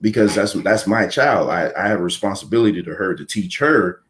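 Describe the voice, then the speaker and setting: A man's voice: low voice, animated, fairly quick, a bit bright. A man in his thirties giving blunt opinions in a casual panel chat, often repeating words as he starts a point. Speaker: podcast panelist.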